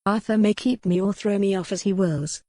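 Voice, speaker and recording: A woman's voice: medium pitch, a little fast, no echo, close to an everyday voice. A woman playing a frail young widow. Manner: formal, quiet and wry.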